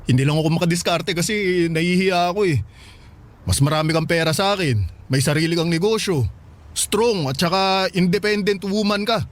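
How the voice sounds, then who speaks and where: A man's voice: sits high, normal speed, natural voice. A man playing a shy, smitten young Filipino market porter in a radio drama, coaxing and pleading. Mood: shy, earnest. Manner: pleading, sheepish.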